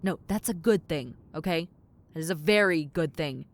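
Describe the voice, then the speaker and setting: A woman's voice: deep voice, moderate pace, natural voice. A woman playing a centuries-old tomboy succubus in an audio roleplay, wry and flustered but kind, talking casually to the listener. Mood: earnest warning.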